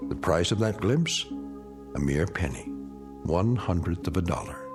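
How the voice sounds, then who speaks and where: An older man narrating a suspense radio drama as a grave, ominous storyteller in a measured, portentous way. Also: deep voice, expressive, music in the background. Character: grave, ominous male narrator of eerie tales.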